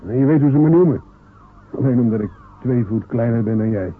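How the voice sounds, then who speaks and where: Male actor as a small, stubborn fisherman; earnest, defiant; husky tone, thin lo-fi radio sound. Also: medium pitch, brisk, natural voice. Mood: determined. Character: proud small fisherman John, stubborn, wants respect. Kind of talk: radio play acting. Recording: narrow phone-like old radio recording.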